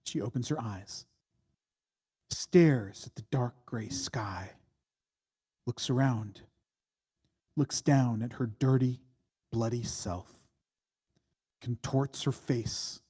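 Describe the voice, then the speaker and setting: A man reading screenplay stage directions as dramatic narration, in a deliberate, suspenseful way. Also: mid-range voice, a little mellow, breathy edge.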